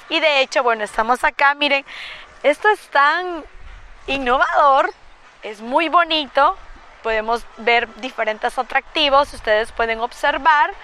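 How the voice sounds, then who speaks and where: A Salvadoran woman in her thirties talks casually and eagerly to her viewers from a windy hilltop, her voice high and lively. It is bright and sharp in tone. A faint hiss of wind and open-air ambience sits behind her. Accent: Salvadoran Spanish. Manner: enthusiastic, chatty, addresses viewers directly. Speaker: travel vlogger. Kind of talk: casual travel vlog narration. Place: outdoors at a windy hilltop lookout restaurant. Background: wind and outdoor ambience.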